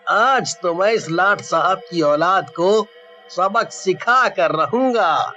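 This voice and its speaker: Cartoon village man, played by an actor, very high voice, bright, emphatic, earnest, music behind. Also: animated, slow, highs cut off, exaggerated voice. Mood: aggrieved. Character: excitable male villagers in a cartoon morality tale. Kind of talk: Hindi cartoon story acting.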